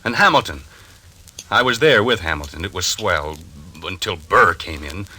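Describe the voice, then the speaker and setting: An actor playing an irritable, obsessed husband haunted by dreams, defensive and snappish, in old-time radio drama style. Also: male voice, low-pitched, animated, normal speed, bright, forceful tone, full character voice, poor audio. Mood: agitated.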